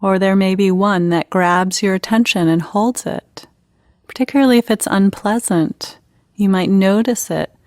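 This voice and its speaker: A woman leading a guided meditation in an unhurried, gentle, instructional manner with pauses between phrases. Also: medium pitch, dry recording, studio quality.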